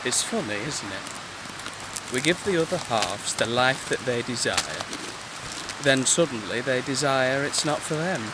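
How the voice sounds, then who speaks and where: An actor playing a mopey, passive-aggressive British man in an audio comedy drama, deadpan and pointed. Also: mid-range voice, measured pace, very noisy, lightly acted. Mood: wounded, mournful. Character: mopey, passive-aggressive man nursing his own heartbreak. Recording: rain and outdoor ambience behind.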